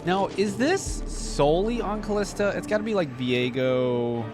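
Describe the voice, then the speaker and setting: A man's voice: high-pitched, animated, a little slow, music in the background. A young adult man hosting a reaction video, giving informal commentary as he thinks aloud. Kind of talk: casual running commentary on videos.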